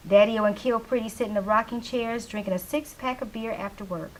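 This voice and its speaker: A woman's voice: fairly high pitch, steady tone, a little fast, slight background noise, rough recording. A woman reading scripted stage directions as the narrator of a play.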